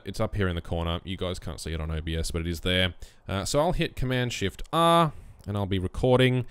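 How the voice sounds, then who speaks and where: Bassy man, relaxed tutorial voice. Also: smooth, no room sound. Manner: relaxed, rambling explanations. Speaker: YouTube gaming creator. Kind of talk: casual screen-recorded tutorial. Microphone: USB condenser mic on a boom arm.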